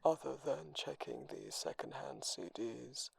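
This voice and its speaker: A man voicing a grumpy, haughty sentient eighties computer in an ASMR roleplay, with a curt, deadpan manner and a breathy, gravelly half-whisper. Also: medium pitch, a little slow, no echo, voice pushed down, heavily acted. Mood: indignant. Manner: curt, deadpan, easily offended.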